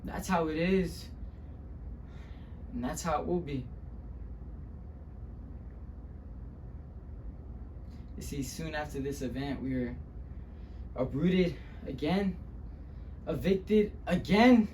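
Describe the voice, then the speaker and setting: Over a noisy room recording comes a wounded young Mexican-American man, played by a man in a dramatic stage monologue. His voice sits a little high, and he speaks very slowly, with heavy pauses as he looks back on a hard childhood. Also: expressive, darkish tone. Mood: somber, hurt. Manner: dramatic, halting delivery with long pauses. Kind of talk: dramatic interpretation monologue, speech-competition acting.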